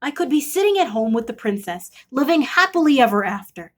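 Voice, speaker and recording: A woman's voice: high-pitched, very animated, fairly quick. A woman voicing an earnest young frog prince from a storybook, pleading and easily exasperated. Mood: self-pitying. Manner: breathless pleading, exasperated emphasis.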